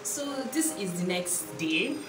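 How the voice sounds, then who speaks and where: A young Nigerian woman talks in a low, easygoing voice straight into a camera held close. She sounds chatty and a little unsure, laughing things off. The room rings with echo and music plays underneath. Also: big pitch swings, slow pace. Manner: chatty, laughing often, unsure and self-teasing. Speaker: vlogger. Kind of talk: casual hair vlog. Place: small room indoors, rain outside. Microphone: camera close, at arm's length. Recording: echoey room with background music.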